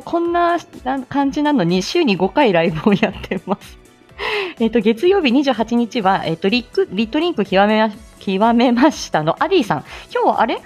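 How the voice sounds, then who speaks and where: Giggly chatty woman doing a casual livestream, pitch bounces around a lot, bit of music behind. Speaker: host of a live audio stream; speech-language therapist. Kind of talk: casual live-stream chat with listeners.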